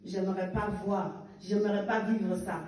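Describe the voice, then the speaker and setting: A woman's voice: mid-range voice, fairly even intonation, measured pace, darkish tone, a little hiss or hum. A woman in her fifties giving a fervent testimony and exhortation in church, with emphatic rising bursts. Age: 50s. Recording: echoey church hall picked up from a distance.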